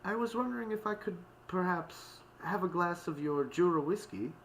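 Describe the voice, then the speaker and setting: A man's voice: fairly high pitch, big pitch swings, moderate pace, fairly bright tone, a little hiss or hum, pitched above the performer's own voice, poor audio. A man voicing a prim, haughty female pony musician in a storybook, with an airy, affected politeness. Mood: airy, polite.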